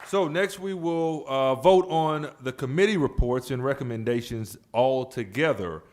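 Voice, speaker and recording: A man presiding as chair over a public board meeting, speaking in a halting way with repeated words and restarts. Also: mid-range voice, darkish tone. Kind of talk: formal but informal-toned meeting remarks.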